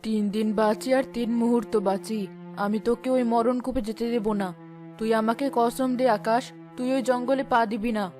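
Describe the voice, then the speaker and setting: A woman acts out a sick village mother in a cartoon tale, fatalistic yet fiercely protective. Her voice sits rather high and plaintive, with soft music underneath. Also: steady tone, a little fast, lightly acted. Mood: alarmed. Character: poor, gravely ill village mother, despairing, protective. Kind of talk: cartoon drama dialogue.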